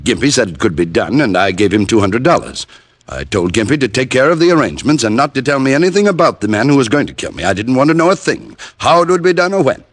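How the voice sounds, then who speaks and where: A man's voice: mid-range voice, big pitch swings, fast talker. A man playing a nervous, desperate embezzler in an old-time radio drama, speaking in a hesitant, polite, earnest way. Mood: earnest, confessional. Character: nervous, desperate male embezzler seeking a detective's help.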